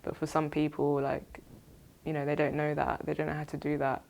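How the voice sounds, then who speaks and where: A woman's voice: sits low, monotone, fairly quick, creaky, background noise. A woman in her thirties from a Congolese family, talking casually and thoughtfully. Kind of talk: reflective interview.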